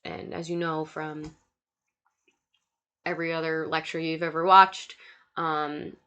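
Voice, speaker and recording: A young woman delivers a recorded slide lecture in a fairly low voice. She is sick, so her tone is stuffy and nasal. She works through lists of points steadily, with small fillers between them. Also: little pitch movement, measured pace, sharp tone, clear, resonant tone. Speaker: student lecturer.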